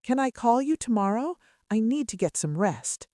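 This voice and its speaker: A woman's voice: fairly high pitch, very animated, normal speed, mellow, no room sound, studio quality, pitched above the performer's own voice. A woman voicing a guarded, weary young woman journalist in an audiobook, polite and subdued. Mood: forced brightness.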